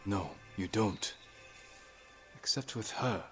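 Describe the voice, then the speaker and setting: An actor playing a grave, resolute young samurai warrior in a video game, speaking in a terse, measured, stoic way, with music behind him. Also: male voice, lowish voice. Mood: probing, firm. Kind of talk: dramatic video game voice acting.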